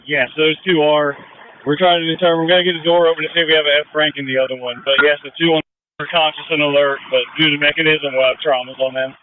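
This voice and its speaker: A man's voice: a little high, fairly even intonation, fast talker, slight background noise. A man acting as fire incident commander, giving businesslike orders over a two-way radio. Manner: directive, businesslike. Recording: narrow muffled fire radio transmission.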